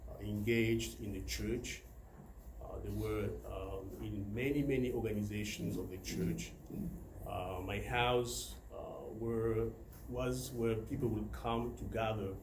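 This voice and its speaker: A middle-aged man from the Democratic Republic of Congo tells his life story to a club audience, in a somewhat low voice and at an unhurried, deliberate pace. He pauses often and fills the gaps with small hesitation sounds. The recording is roomy and echoey, with faint noise behind him. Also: darkish tone. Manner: hesitant, many filler sounds. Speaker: club member giving a talk. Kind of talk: prepared personal talk to a club.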